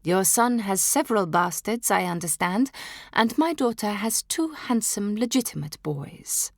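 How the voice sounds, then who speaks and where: A woman's voice: medium pitch, lively intonation, a little slow, dry recording, clean studio sound, pitched above the performer's own voice. A woman reading the part of a proud noblewoman mother who answers with sly, biting wit. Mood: sly, sharp.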